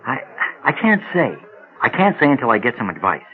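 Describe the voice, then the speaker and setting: An actor playing a polite, hesitant, earnest young small-town coach in a radio drama. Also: male voice, medium pitch, very animated, fairly quick, phone-quality sound, close to an everyday voice. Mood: earnest, friendly. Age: young adult.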